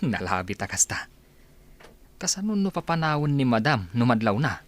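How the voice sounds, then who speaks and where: A man's voice: mid-range voice, sing-song, moderate pace, lightly acted. A man playing a gossipy, bantering driver for a rich household in a conversational audio drama. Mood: musing.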